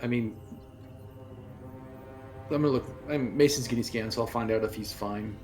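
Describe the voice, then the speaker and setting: A man's voice: medium pitch, moderate pace, darkish tone. A man taking part in a role-playing game, moving between casual table talk and speaking as his character. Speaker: tabletop role-play player. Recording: music playing behind the voice. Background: music.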